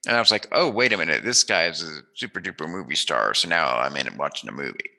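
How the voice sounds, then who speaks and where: A man's voice: rumbling low, animated, fast, creaky, bright, forceful tone. A man hosting a casual interview, chatting in an informal, enthusiastic and rambling way. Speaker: interview host.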